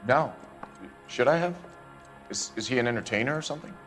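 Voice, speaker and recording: An actor playing an evasive, smooth-talking businessman under questioning in a game, guarded and politely hedging. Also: medium pitch, lively intonation, brisk, a bit bright, soft background music, lightly acted. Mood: uneasy, evasive. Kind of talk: dramatic interrogation dialogue.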